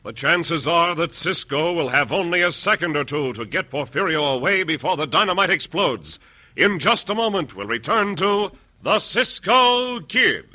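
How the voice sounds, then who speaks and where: A man's voice: a little high, expressive, moderate pace. A man giving dramatic scripted narration as an old-time radio drama announcer. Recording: old radio, loud organ underneath. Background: loud organ music.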